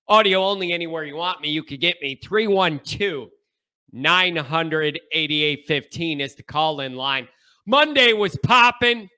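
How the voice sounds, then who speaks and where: A stuffed-up man hosting a sports talk monologue, his voice high-pitched and piercingly bright. He takes his time with each phrase, hammering points home through repetition and sudden shouted bursts. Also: animated, measured pace, clear, resonant tone. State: stuffed-up nasal congestion. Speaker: show host.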